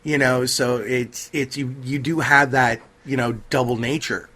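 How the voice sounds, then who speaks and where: Male podcast panelist, medium pitch, casual conversational delivery. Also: steady tone, a little slow, pushed and bright. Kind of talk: casual chat.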